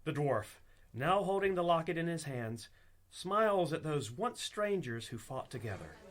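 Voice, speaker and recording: A man's voice: fairly high pitch, sing-song, normal speed. A man giving scripted storytelling narration for a comedy radio play.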